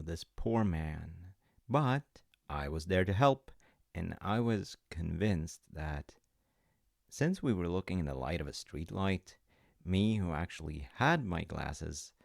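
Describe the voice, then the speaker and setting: A man with a very deep, gravelly voice talks slowly and gently, like a dad telling a comforting story with self-mocking asides. The sound is dry and close to the mic. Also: no room sound. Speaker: audio roleplay storyteller playing a dad.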